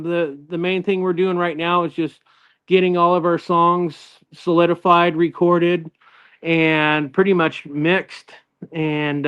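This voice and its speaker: A man's voice: fairly high pitch, smooth, muffled recording. A middle-aged man from Northern California, a guest guitarist in a casual interview, easygoing and rambling with lots of fillers and restarts.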